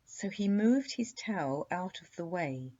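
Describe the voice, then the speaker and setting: Animated, husky woman storyteller. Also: on the low side, takes it slowly, highs cut off. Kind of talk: expressive children's bedtime story narration.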